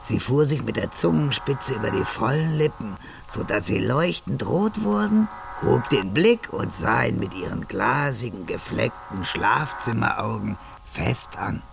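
Gravelly, slow male narrator. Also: mid-range voice, animated, noisy background. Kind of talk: scripted radio drama narration.

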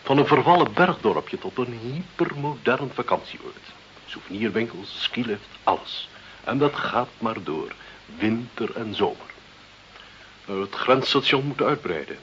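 A man's voice: mid-range voice, expressive, a little slow, a bit bright. An actor in a radio play as an obsequious, efficient male deputy who speaks dutifully to his superior. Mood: eager, informing. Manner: deferential, dutiful, agreeing. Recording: dull, hissy old radio play recording.

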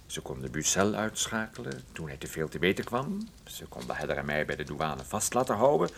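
A man's voice: very deep voice, big pitch swings, fairly quick, gravelly, slight background noise, heavily acted. Een man die in een hoorspel een droge, sardonische en onbuigzame privédetective speelt. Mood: explaining. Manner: dry, sardonic. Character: wry, unyielding male private detective confronting a criminal. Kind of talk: radio drama acting.

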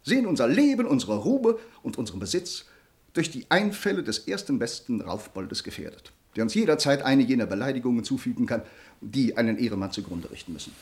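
Proud formal nobleman in a radio play, medium pitch, really swoops around. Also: big pitch swings, a little fast, darkish tone. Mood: grave, troubled. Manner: formal, eloquent, earnest. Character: honor-bound young nobleman, grateful, earnest, passionately restrained. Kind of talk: German radio play acting of classic drama.

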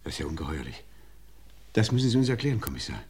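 Deep husky suspect, overly polite, kinda mocking. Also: male voice, sing-song, fast, a little mellow, exaggerated voice. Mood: uneasy. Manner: polite, faintly mocking, with forced laughs. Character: smooth, hospitable male suspect, middle-aged, nervously jovial. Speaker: suspect husband. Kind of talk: 1950s-60s radio crime play acting.

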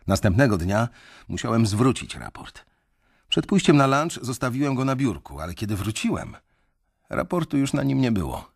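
An actor narrating a radio drama in the first person as a disillusioned young male bank analyst, telling the story in a measured, reflective way. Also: medium pitch, sing-song, vocal fry, breathy edge, exaggerated voice.

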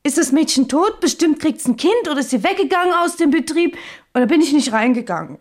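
A woman's voice: high-pitched, very animated, a little fast, no echo, clean studio sound. An actress playing an earnest, dreamy working woman in a German radio play, speaking simply and hesitantly. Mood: earnest, agitated. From East German mountain village. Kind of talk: German radio play acting, intimate monologue and dialogue.

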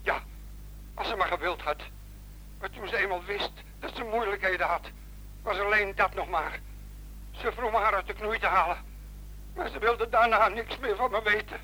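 High, bright-voiced, troubled policeman. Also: normal speed, long pauses, a little hiss or hum, rough recording. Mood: anguished, confiding. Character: reluctant policeman posing as a desperate suicidal caller. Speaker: police officer. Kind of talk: Dutch radio play acting.

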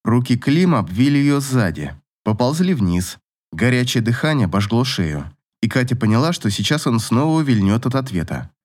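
A man's voice: mid-range voice, very animated, normal speed, darkish tone. A man reading scripted narration from a romance novel as an audiobook narrator.

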